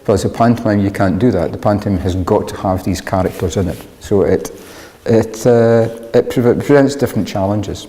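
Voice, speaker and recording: Low, mellow man in a reverberant hall. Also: moderate pace. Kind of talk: explanatory interview talk.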